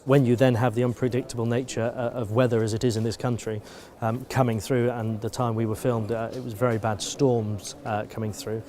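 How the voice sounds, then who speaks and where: Mellow British man explaining methodically. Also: medium pitch, level tone, fairly quick, hardly any pauses. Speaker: air traffic control supervisor interviewee. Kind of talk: explanatory interview answers.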